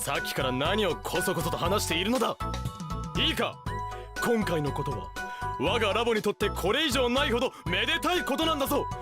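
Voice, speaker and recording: A man's voice: high voice, lively intonation, a little fast, over loud music. A man playing a pompous, theatrical young self-styled mad scientist in an anime radio drama. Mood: bombastic.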